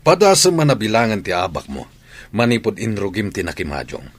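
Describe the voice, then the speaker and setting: A man with a Filipino accent playing a concerned, reproachful grandfather in a radio drama, with a husky voice. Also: lowish voice, sing-song, a little fast, dry recording.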